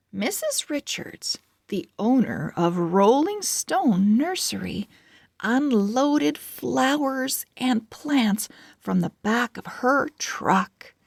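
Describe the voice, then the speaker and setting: A woman reading a children's storybook aloud as host, with deliberate, expressive phrasing for young readers. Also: a little high, vocal fry, no room sound, studio quality. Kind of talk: slow read-along storybook narration.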